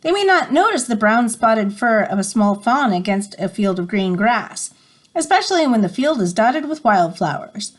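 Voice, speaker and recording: A woman's voice: on the high side, big pitch swings, moderate pace. A woman reading an educational picture book aloud as a teacher, explaining and prompting listeners as she goes. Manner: explanatory, stops to define words and prompt listeners.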